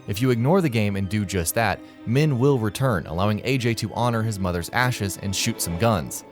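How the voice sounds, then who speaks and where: A man's voice: fairly low pitch, expressive, normal speed, faint music behind. A man reading scripted narration for a video game list.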